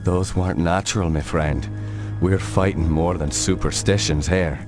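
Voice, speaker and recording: A man with an Irish accent playing a gruff, battle-worn 1920s paranormal investigator in a video game, wry and brooding. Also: sits low, steady tone, normal speed, music in the background, close to an everyday voice. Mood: alarmed. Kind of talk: video game cutscene narration and dialogue.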